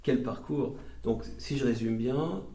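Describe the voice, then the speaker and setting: A man's voice: medium pitch, measured pace, warm tone, echoey room. A man hosting a formal masterclass interview, effusive and complimentary, with frequent fillers. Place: lecture room.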